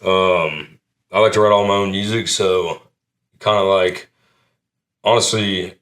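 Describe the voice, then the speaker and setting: A man with a low, deep voice speaks in an unhurried, laid-back way. He sounds modest as he talks about his music, filling his answers with easy fillers. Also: fairly even intonation, fairly bright tone. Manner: laid-back, modest, lots of "you know" and "kinda". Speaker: country singer, interview guest. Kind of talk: relaxed interview answers.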